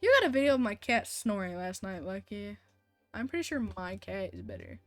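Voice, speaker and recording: A woman's voice: mid-range voice, expressive, fairly quick, no room sound, soft background music. A woman live streamer chatting casually while gaming, hesitant and thinking aloud as she talks to viewers.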